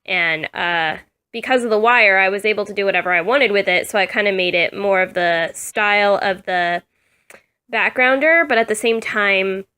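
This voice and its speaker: A woman talks in a loose, chatty show-and-tell way, her voice bright and clear on top but slightly husky from a cold. She fills pauses with ums and corrects herself as she goes, recorded on a phone camera. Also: medium pitch. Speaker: doll customizer showing her work. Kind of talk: casual show-and-tell vlog.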